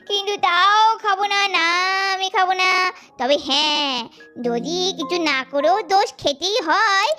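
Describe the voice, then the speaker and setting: A woman's voice: very high-pitched, pushed and bright. A woman voicing a food-loving, aggrieved older girl in a children's doll cartoon, complaining with dramatic, comic self-pity. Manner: indignant, dramatic, drawn-out complaining.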